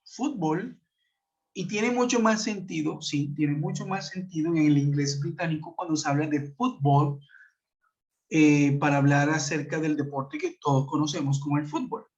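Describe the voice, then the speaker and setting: Colombian guy teaching english online, kinda high voice, lots of eh and cierto, dull lo-fi call audio. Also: fairly even intonation, normal speed, a little mellow. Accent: Colombian Spanish. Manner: explanatory, many fillers and tag questions. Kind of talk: web conference lesson. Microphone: computer mic on a video call. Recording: muffled lo-fi web call audio.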